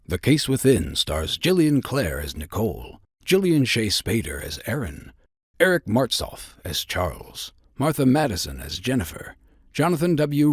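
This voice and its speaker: A man announces scripted credits in a husky, mid-pitched voice. His intonation rises and falls in a lively way, and the recording is dry and close. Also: no room sound.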